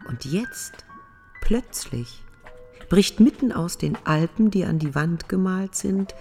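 A woman's voice: on the low side, lively intonation, takes it slowly, a little mellow, soft background music. A woman giving a scripted literary reading, as a reader of poetic prose.

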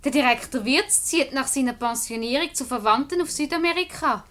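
A woman's voice: high voice, brisk, a bit bright. An actress playing a perky, flirtatious married woman in a Swiss German radio comedy, with a teasing manner. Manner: coquettish, teasing. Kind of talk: radio comedy acting in dialect.

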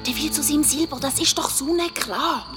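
A woman's voice: high voice, a little fast, music in the background, close to an everyday voice. An actress playing a bold, bossy, resolute young girl in a children's audio drama. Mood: tense, whispering plans. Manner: resolute, scolding.

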